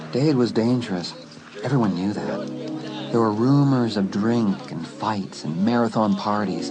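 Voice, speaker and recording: A male audiobook narrator with a fairly low voice. His delivery of the dramatic prose rises and falls in an animated way. Music plays clearly underneath.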